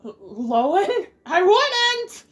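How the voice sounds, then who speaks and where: A woman's voice: extremely high pitch, sing-song, very slow. A woman reacting casually and live to a video game, exclaiming and swearing as she goes. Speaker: let's play streamer reacting to a game.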